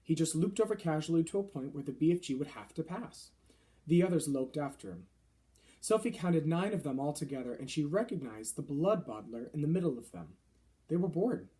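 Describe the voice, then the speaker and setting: A man's voice: fairly high pitch, expressive, brisk, mellow. A man reading a children's novel aloud as scripted narration. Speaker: storybook reader.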